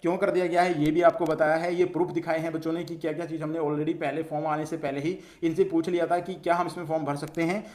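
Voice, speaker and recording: A man speaks very quickly in a slightly high voice. He sounds like an online host briefing students, setting out questions and answering them with frequent repetition. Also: little pitch movement, a little mellow. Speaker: host of an exam news channel for students. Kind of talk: explanatory news update addressed to students.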